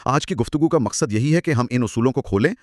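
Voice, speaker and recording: A man co-hosting a scripted explanatory discussion, speaking in a measured, emphatic, didactic way. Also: mid-range voice, animated, a little mellow, no room sound, clean studio sound. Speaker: co-host explaining law.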